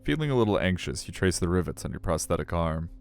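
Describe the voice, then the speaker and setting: A man with a low voice reads a story game aloud as a streamer. He moves between narration and chatty, joking asides, over soft game music. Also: very animated, quick pace, gravelly. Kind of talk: live reading of a visual novel with casual commentary.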